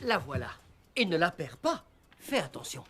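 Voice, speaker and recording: An actor playing a middle-aged, exasperated father in a French animated film dub, flustered and prone to scolding. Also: on the high side, normal speed, a little hiss or hum. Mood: scolding, weary. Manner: scolding, flustered, hesitant when searching for words. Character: harried, exasperated father of a young boy. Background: music.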